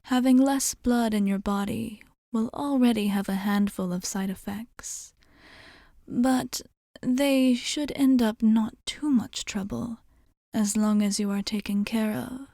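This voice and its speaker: A woman playing a courteous, soothing vampire bartender in an ASMR roleplay, speaking softly and formally, often dropping to a whisper. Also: fairly high pitch, no echo, studio quality. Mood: calm, solicitous. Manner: formal, measured, gently reassuring.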